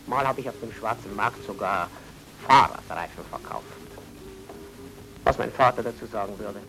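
An actor playing a polite, evasive Viennese baron in a German radio crime drama, with a fussy, courteous manner. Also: male voice, lowish voice, normal speed, gravelly, bright, forceful tone, low-quality recording. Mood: evasive, regretful.

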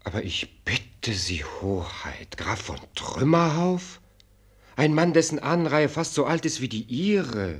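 A sardonic minister of state in a radio drama. His pitch is mid-range but moves in very lively swings, and he lectures in formal, pointed phrases with dry irony. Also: unhurried, slightly rough. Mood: breezy irony. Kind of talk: German radio play acting.

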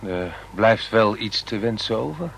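A man's voice: lowish voice, animated, a little slow, creaky, a bit bright, a little hiss or hum, exaggerated voice, rough recording. An actor playing a polite, hesitant young male visitor in a radio play, agreeable and mildly amazed. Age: young adult. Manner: hesitant, agreeable, brief replies. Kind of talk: Dutch radio play dialogue.

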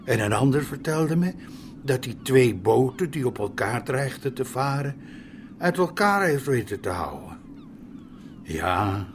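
Actor as a solemn old villager, expressive range. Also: male voice, mid-range voice, a little hiss or hum, lightly acted. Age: older. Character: frail, pious, kindly old villager. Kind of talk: Dutch radio drama acting.

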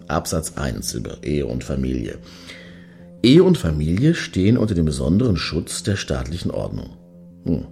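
A man acting in a satirical radio play as a brash, opinionated middle-aged club-goer with slangy, sarcastic talk and a gravelly voice. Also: very deep voice, darkish tone, full character voice. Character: brash, randy, opinionated man queuing outside a Berlin club.

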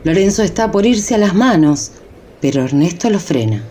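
A woman's voice: lowish voice, animated, moderate pace, mellow, a little hiss or hum, lightly acted. A woman narrating a radio drama from a script.

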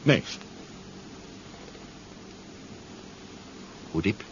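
An actor playing a nervous but determined man on a deep-sea spy mission in a 1970s radio drama, anxious and questioning. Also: fairly quick, lightly acted. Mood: tense, subdued. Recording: dull, noisy 1970s radio recording.

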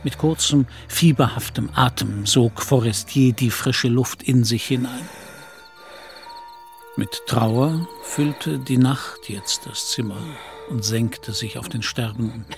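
A man narrates a radio play from a literary script. His voice is mid-pitched and his storytelling measured. Faint music runs behind him.

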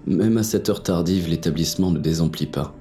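A man's voice: low voice, fairly quick, mellow. A man playing a cynical, wounded fugitive in noir audio fiction, narrating in the first person with crude, terse French slang. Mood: grim, cynical. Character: hard-boiled, cynical, wounded male fugitive with a gun. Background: music.

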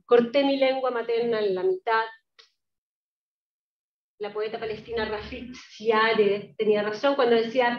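A woman lecturer with a fairly high voice speaks slowly and haltingly as she presents and reads poetry to listeners. She is picked up from a distance in a roomy space. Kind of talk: public talk with poem readings. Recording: roomy, distant, dull lo-fi pickup.

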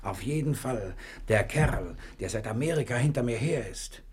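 A cunning male convict in a 1960 radio crime drama. His voice is husky and sits at a medium pitch. He is courteous and crafty. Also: lively intonation, moderate pace. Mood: conspiratorial. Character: cunning male prisoner feigning a dazed amnesiac, smooth-talking.

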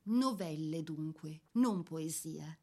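A woman narrating a scripted literary biography for a radio drama in a measured, literary delivery. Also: low voice, lively intonation, darkish tone.

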